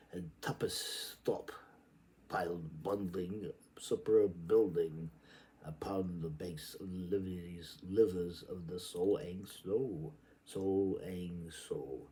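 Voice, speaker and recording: A man giving a theatrical, halting reading of literary prose, repeating words and shifting between murmurs and sudden emphasis. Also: sits low, a little mellow, low-quality recording. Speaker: reader. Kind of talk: dramatic amateur literary reading aloud.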